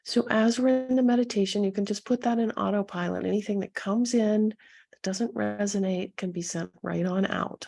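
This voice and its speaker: A woman leading a guided meditation as a coach, speaking softly and soothingly to her listeners with a gentle, husky delivery. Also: mid-range voice. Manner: soothing, gentle, unhurried instruction with pauses.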